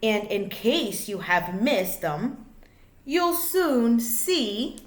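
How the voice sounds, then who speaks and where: A woman reading a children's picture book aloud like a teacher, in an expressive, sing-song way with drawn-out words. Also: a little high. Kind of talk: read-aloud children's storytelling. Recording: echoey room.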